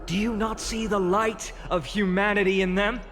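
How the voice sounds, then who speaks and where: A solemn young champion orator. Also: male voice, high voice, level tone, moderate pace, fairly bright tone, slight background noise. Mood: solemn rousing. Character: earnest young male champion giving a rousing speech. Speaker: speaker addressing an assembly. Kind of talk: video game voice acting. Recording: long hall reverb.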